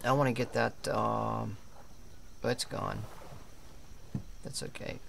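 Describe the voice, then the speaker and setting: A man's voice: on the low side, fairly even intonation, takes it slowly, creaky, a bit bright, slight background noise. A man streaming a game, roleplaying a polite, hesitant character with a put-on French accent and many fillers. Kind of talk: in-character roleplay chat and live gameplay talk.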